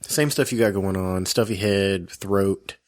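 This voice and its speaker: A man's voice: deep voice, unhurried. Guy podcast co-host, goofy jokey, a bit under the weather from a cold. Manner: joking, goofy, many restarts. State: getting over a cold. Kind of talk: casual chat.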